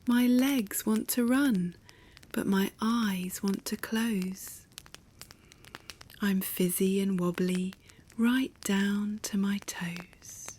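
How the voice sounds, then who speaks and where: Woman as a restless little storybook girl bear, slow-paced. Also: mid-range voice, a little mellow, a little hiss or hum. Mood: overtired, fizzy.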